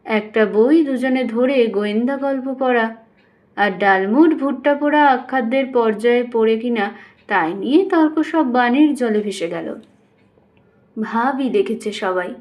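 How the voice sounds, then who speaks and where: A woman with a high voice reads a scripted literary story. She narrates expressively, as an audiobook storyteller does. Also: expressive, unhurried, a little mellow. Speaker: audiobook reader.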